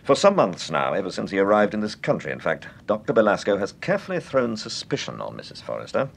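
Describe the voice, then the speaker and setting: An actor playing a composed, shrewd gentleman detective in a British radio serial, with clipped, urbane Received Pronunciation. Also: on the low side, huge pitch swings, fast, gravelly, exaggerated voice. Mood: calmly assured.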